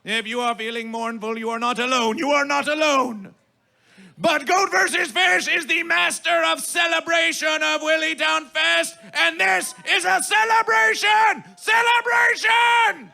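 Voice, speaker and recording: Guy hyping a festival crowd as mc, super gravelly and shouty, grand repetitive chanting, music behind him. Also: very high voice, steady tone, unhurried. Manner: grandiose, chanting, repetitive proclamations in carnival-barker fashion. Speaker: festival master of ceremonies. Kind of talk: theatrical hype emcee announcing to a festival.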